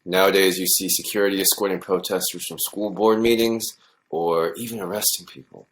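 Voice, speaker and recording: An actor playing a worried, cautious high school principal in an audio drama, speaking in a measured, conciliatory way. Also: male voice, steady tone, close to an everyday voice, poor audio. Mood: cautious, concerned.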